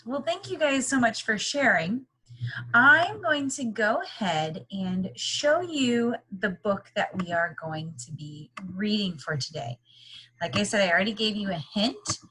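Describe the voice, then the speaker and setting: A woman speaks as a teacher leading a children's read-aloud. Her pitch moves in lively swings over a somewhat husky tone. She explains in simple, encouraging phrases and often puts questions to her young listeners. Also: poor audio.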